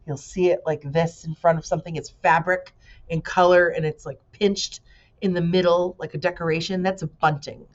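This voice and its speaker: A woman working as a teacher, reading a storybook aloud to students and pausing to explain words in a casual, direct way. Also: on the low side, steady tone. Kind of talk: storybook read-aloud with conversational asides. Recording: dull lo-fi recording.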